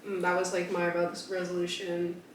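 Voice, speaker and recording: A woman co-hosting a podcast in casual chat, her voice still deep from a cold, hesitant and giggly. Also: completely flat delivery, normal speed, far from the mic. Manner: hesitant, many restarts, giggly. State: recovering from a cold, voice deeper. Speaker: podcast co-host. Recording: echoey room, voice a bit off-mic, faint noise.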